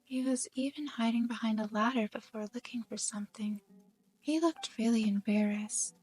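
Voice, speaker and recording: Sleepy, breathy, timid anime girl, played by a woman. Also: on the high side, moderate pace, low-quality recording. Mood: weary, gentle. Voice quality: soft, breathy. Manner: drowsy, hesitant. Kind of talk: anime game fan voice-over. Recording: background music.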